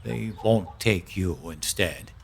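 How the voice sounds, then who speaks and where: A man playing an uneasy, apologetic mailman in an audio drama, speaking in a halting, hesitant way. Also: deep voice, gravelly, a little hiss or hum, heavily acted. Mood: somber explaining. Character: apologetic, uneasy mailman bearing grim summonses.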